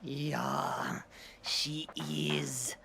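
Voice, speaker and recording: A man's voice: on the high side, little pitch movement, very slow, vocal fry, bright, forceful tone, slight background noise, exaggerated voice. A man playing a lecherous German sidekick with a German accent and a snickering, leering manner in a comedic audio drama. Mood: curious.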